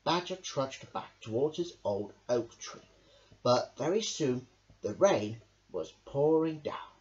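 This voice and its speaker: A young male reading a children's storybook aloud in a deliberate, dramatic way. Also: medium pitch, animated, darkish tone, lo-fi sound. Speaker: storybook reader. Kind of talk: scripted children's story narration.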